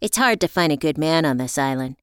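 A woman's voice: fairly low pitch, lively intonation, normal speed, clear, resonant tone, dry recording, clean studio sound, lightly acted. A woman voicing a chatty, teasing female island librarian in an audiobook, playful and frank.

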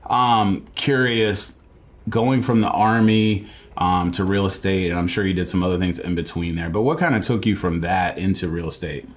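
Low-voiced man hosting, playfully teasing. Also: moderate pace. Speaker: podcast host. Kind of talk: casual interview chat.

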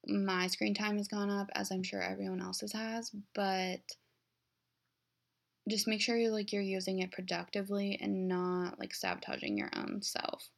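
A young woman hosting a casual solo podcast, talking in a monotone with lots of "like" fillers. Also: mid-range voice, normal speed, vocal fry. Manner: monotone, flat-sounding delivery; heavy use of "like" as a filler. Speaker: podcast host.

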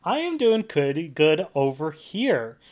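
A man's voice: on the high side, very animated, measured pace, darkish tone, highs cut off. A young adult man speaking as the host of a student radio interview show. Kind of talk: scripted radio introduction and conversational interviewing.

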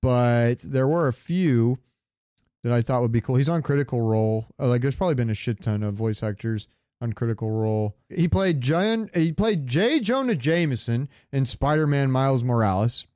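A man's voice: medium pitch, expressive, normal speed, a little mellow, no echo. Sweary man chatting, close dry sound. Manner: sweary, rambling, jokey storytelling. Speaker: podcast co-host. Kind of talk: casual comedic chat.

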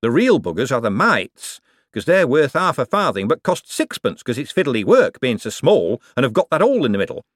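A man's voice: mid-range voice, sing-song, a little fast, no echo, clean studio sound, pitched above the performer's own voice. A man voicing a sly, working-class male mint foreman who uses broad dialect grammar and keeps saying sir. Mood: wheedling.

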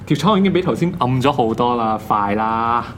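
An actor playing an excitable, insistent office colleague in a radio drama. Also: male voice, mid-range voice, fairly quick, slight background noise, lightly acted. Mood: excited, insistent. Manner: excited, urging. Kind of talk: Cantonese radio drama acting.

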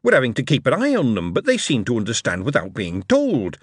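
A man's voice: mid-range voice, huge pitch swings, brisk, breathy edge, no room sound, clean studio sound. A man voicing a cheerful young engineer lad, folksy, respectful and keen, in an audiobook. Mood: amused, happy.

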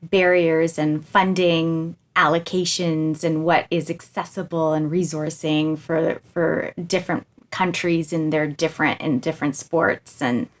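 A woman's voice: low voice, flat delivery, measured pace, hardly any pauses. A woman hosting a podcast interview, friendly and affirming as she steers the conversation. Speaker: podcast host.

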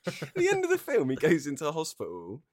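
A man's voice: a little high, wildly swooping pitch, a little fast, no echo. A man in a casual film chat, blokey, sweary and mock-incredulous. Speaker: podcast host.

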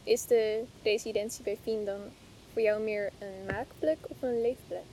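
A woman's voice: steady tone, moderate pace, a little mellow, poor audio. A woman asking questions as the interviewer in a casual podcast conversation. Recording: faint low rumbling background noise.

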